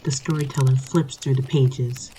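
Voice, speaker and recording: A woman's voice: very deep voice, fairly even intonation, normal speed, dark tone, slight background noise, rough recording. A woman storyteller delivering scripted narration of a short story.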